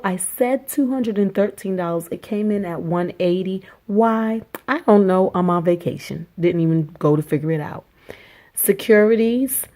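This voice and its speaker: A woman with a hoarse voice from a cold, chatting upbeat to her viewers in a casual budget vlog from a small rented apartment. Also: lowish voice, mellow. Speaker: vlogger.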